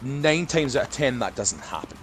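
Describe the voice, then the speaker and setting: A man's voice: medium pitch, sing-song, moderate pace. Male, casual podcast guest, rambles and runs himself down, noisy recording. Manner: self-deprecating, rambling. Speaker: podcast guest, dungeon master. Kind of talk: casual banter while gaming. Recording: clearly noisy background.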